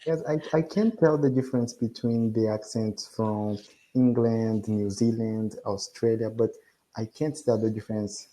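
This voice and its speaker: A man's voice: fairly low pitch, fairly even intonation, unhurried, mellow, rough recording. Man with a Brazilian accent. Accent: Brazilian Portuguese. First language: Portuguese. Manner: eager, hesitant, laughs often. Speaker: English learner. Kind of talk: casual video-call lesson. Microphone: video call.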